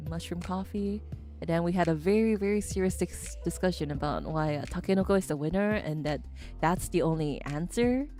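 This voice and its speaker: A woman's voice: lowish voice, a little mellow. A woman streamer in casual chat, switching between English and Japanese, rambling and laughing often.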